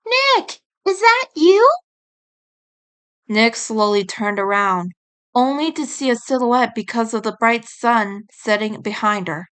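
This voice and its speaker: A woman's voice: medium pitch, measured pace, a bit bright, clear, resonant tone. A woman reading scripted story narration as the narrator of a fan fiction tale.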